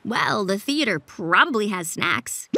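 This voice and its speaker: A woman's voice: mid-range voice, very animated, measured pace, heavy background noise. A woman voicing a dry, sarcastic young sorceress in a cartoon. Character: deadpan gloomy young sorceress.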